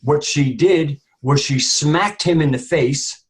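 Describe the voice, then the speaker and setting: Husky-voiced man on a discussion panel. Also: fairly high pitch, slow pace.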